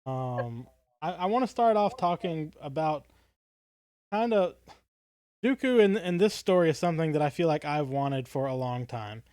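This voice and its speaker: Halting, thoughtful man with a higher voice. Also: lively intonation, a little mellow, dry recording, clean studio sound. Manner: halting, thoughtful, circles back on phrases. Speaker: podcast co-host. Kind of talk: casual fan podcast chat.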